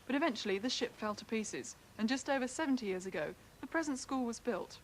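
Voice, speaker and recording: A woman's voice: fairly high pitch, expressive, fairly quick. British newswoman narrating, faint hiss. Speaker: news reporter. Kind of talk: scripted documentary narration. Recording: faintly noisy archive newsreel.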